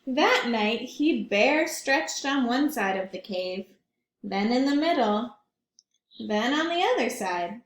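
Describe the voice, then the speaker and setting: Slow, high-voiced woman reading aloud. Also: animated. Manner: gentle, slow, questioning. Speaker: teacher reading aloud to children. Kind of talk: children's read-aloud with questions. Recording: roomy echo.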